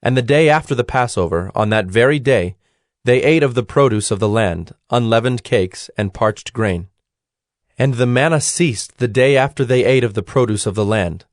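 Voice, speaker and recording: A man narrating scripture from a script in a formal, measured storytelling manner. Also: mid-range voice, no room sound, clean studio sound.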